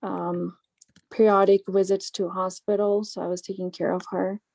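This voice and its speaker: A woman's voice: medium pitch, flat delivery, normal speed, creaky, a little mellow, no echo. Hesitant woman in a dry room. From born in Pakistan, grew up in New Orleans. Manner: hesitant, many ums. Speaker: medical graduate interviewee. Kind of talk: mock interview answers.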